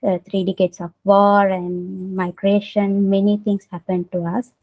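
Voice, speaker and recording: A woman's voice: medium pitch, level tone, a little slow. A woman with a Sri Lankan accent answering interview questions as an artist, hesitant and searching for words. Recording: dull lo-fi recording.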